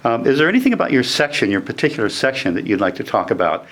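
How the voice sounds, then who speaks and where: A man's voice: medium pitch, big pitch swings, quick pace, fairly bright tone. A man hosting a casual interview, conversational and prompting each guest by name. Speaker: interviewer, band fan site host.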